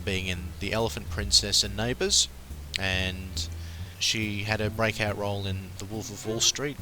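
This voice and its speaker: Chatty Australian man with a bright voice. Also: fairly low pitch, low-quality recording. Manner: chatty, frequent ums and uhs. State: recovering from flu. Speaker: pop culture podcast host. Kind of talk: casual solo commentary.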